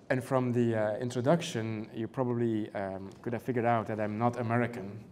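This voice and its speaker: Male European-accented academic panelist, mid pitch, measured and full of "uh" fillers, faint background noise. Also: level tone. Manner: measured, many "uh" and "um" fillers, rhetorical questions. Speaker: panelist, canon law professor. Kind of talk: conference panel talk.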